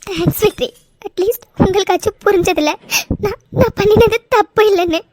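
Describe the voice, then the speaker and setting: A woman's voice: high voice, lively intonation, racing, slight background noise. A woman acting a distraught, defiant young office worker in an emotional drama, pleading and repeating her words. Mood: tearful, defensive.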